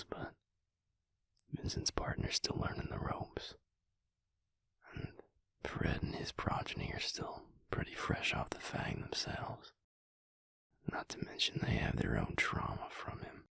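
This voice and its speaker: An actor playing a protective, earnest vampire lover in an intimate audio roleplay, speaking in a breathy, husky whisper with a soothing, folksy drawl. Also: male voice, low voice, huge pitch swings, a little slow, fairly bright tone, no room sound, heavily acted. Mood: somber, confiding.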